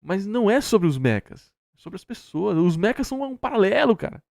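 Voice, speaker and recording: A man's voice: high-pitched, big pitch swings, a little fast, creaky, a little mellow, no room sound, clean studio sound. A Brazilian man co-hosting a reaction show, commenting casually in a sarcastic, argumentative and emphatic way.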